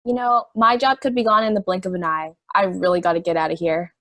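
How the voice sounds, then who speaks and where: A woman's voice: fairly low pitch, steady tone, quick pace, pushed and bright, no room sound. A woman playing a hesitant, weary juror in an amateur audio drama.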